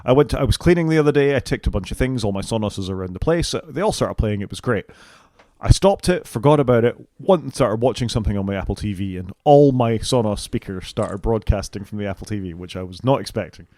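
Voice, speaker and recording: A man's voice: lowish voice, expressive, fast talker, warm tone, dry recording, studio quality. A British man co-hosting a casual tech podcast, working through a list with wry, opinionated asides. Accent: British English. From United Kingdom. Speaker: podcast co-host.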